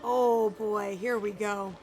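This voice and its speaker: A woman's voice: mid-range voice, steady tone, slow pace, low-quality recording. A woman live-streaming a game, switching between casual commentary and reading text aloud. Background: game music.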